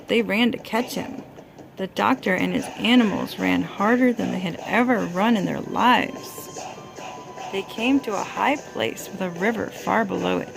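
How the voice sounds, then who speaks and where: Woman reading a storybook, slightly creaky voice. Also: mid-range voice, rough recording. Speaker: parent reading a storybook aloud to a toddler. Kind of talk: storybook read-aloud with asides to a child.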